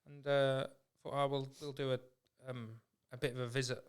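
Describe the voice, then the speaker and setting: A man's voice: medium pitch, level tone, moderate pace, smooth. An English man hosting a podcast, chatting in an informal, supportive and rambling way. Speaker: podcast host. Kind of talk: casual conversational interview.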